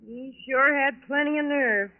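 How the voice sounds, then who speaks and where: An actress playing a brash, wisecracking nightclub singer in a slangy, offhand way, in 1940s radio drama style. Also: female voice, sits high, unhurried. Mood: offhand, cooperative. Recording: tinny 1940s radio recording.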